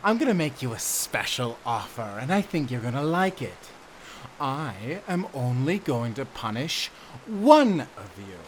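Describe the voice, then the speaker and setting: A man as a slow, theatrical, sadistic warden. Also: mid-range voice, sing-song, slight background noise, rough recording. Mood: mock generous. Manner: drawling, mocking, toying with his words. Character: sadistic theatrical prison warden, gloating and cruel. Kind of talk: audio roleplay drama acting.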